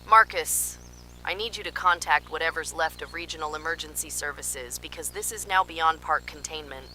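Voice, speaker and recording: Casting: actress as alarmed female field scientist for analog horror. Bright, tense delivery, urgent yet clinical, with faint noise on the recording. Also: deep voice, moderate pace, rough recording. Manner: urgent, dramatic, clinical reporting. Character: alarmed female park scientist transmitting desperate emergency warnings. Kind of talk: analog horror emergency narration, field report monologue.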